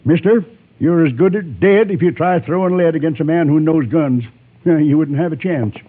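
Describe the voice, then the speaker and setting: Western gunsmith acted by a man: folksy, plain-spoken American, highish voice, firm but chatty, thin vintage radio sound. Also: phone-quality sound, natural voice. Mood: cautioning. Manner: folksy, plain-spoken, drawn-out homespun phrasing. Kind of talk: old-time radio western acting. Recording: thin, faintly noisy old radio broadcast.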